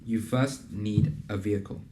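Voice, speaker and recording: A young man hosting a casual interview and pitching his program in an earnest, conversational way, with plenty of fillers. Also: measured pace, warm tone, slight background noise. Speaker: host and program founder. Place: in a building in a city business district.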